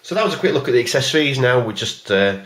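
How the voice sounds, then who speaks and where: A man giving a casual, rambling video review of a collectible figure in a plain, even voice, stopping often for ums and uhs. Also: medium pitch, fast talker. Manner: rambling and hesitant, many fillers.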